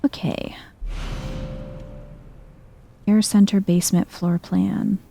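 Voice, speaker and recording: A woman streams a game in a soft ASMR style, thinking aloud in a low-key way. Her voice is very dark and relaxed, with a creaky fry at the edges. She takes her time, speaking slowly and gently. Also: a little hiss or hum. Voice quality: soft, hushed, husky. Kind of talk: soft-spoken ASMR gameplay commentary.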